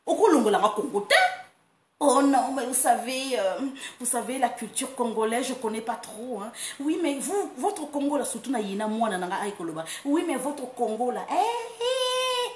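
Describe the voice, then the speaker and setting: A woman from France hosting a casual online monologue, animated and quick to laugh, breaking into playful chants. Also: a little high. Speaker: online talk host. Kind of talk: casual monologue, mixing Lingala and French. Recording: roomy echo, faint background noise.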